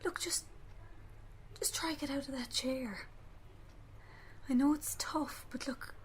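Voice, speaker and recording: A woman's voice: sits high, takes it slowly, whispered. Woman acting a weary, grieving young Irish mother. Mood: pleading. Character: grieving young mother, weary, gently coaxing her partner. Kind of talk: radio play acting.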